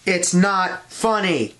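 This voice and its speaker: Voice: medium pitch, drawn-out words, fairly bright tone, faint music behind. A reviewer giving blunt, opinionated scripted commentary with a sardonic manner. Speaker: reviewer, host of an online review show.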